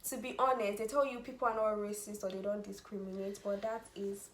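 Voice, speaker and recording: A woman's voice: medium pitch, level tone, a little fast, heavy background noise. A young woman with a Nigerian accent, chatting casually and animatedly about student life, laughing often. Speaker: vlogger, international student. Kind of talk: casual chat. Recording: echoey room with faint ambience.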